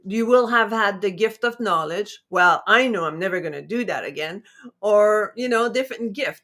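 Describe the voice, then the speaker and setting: A woman's voice: medium pitch, fairly bright tone. A middle-aged woman hosting her own talk show, chatty and upbeat, wandering a little as she explains her ideas. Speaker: show host. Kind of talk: casual talk-show intro.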